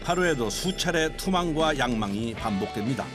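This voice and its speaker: Guy narrating a documentary, scripted read, loud music underneath. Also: mid-range voice, animated, moderate pace. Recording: loud music bed behind voice.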